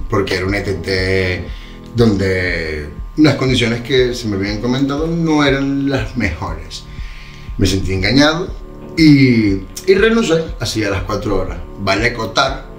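A man in his thirties with a Venezuelan Spanish accent, telling his story casually in an interview, with hesitations and repeated words. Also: lowish voice. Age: 30s. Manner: relaxed, repeats words for emphasis, frequent hesitations. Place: bright room indoors, seated on sofa. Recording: roomy sound with music under it.

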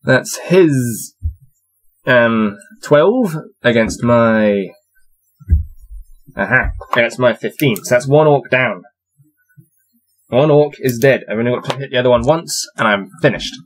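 A man's voice: medium pitch, takes it slowly, pauses often, faint music behind, low-quality recording. Young man reading a gamebook aloud. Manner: amused, laughs often, counts out dice rolls. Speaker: reader playing a gamebook. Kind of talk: casual read-aloud with dice commentary. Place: small cluttered room with shelves, indoors.

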